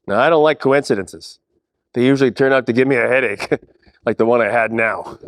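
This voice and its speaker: A man's voice: medium pitch, fairly quick, lightly acted. Man playing a wry hardboiled detective, hall reverb. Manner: wry, colloquial, deadpan asides. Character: world-weary wisecracking 1940s private detective. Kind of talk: hardboiled noir radio-play narration and dialogue.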